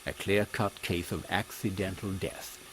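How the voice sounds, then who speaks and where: A man voicing a composed British captain of Victorian secret agents, measured and formal, in a comic audio drama. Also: low-pitched, fairly even intonation. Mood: serious. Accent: British English. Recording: faint background noise.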